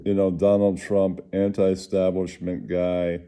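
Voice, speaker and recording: Male host, low-pitched and very dark in tone, halting delivery, casual rambling commentary. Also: level tone, clean tone.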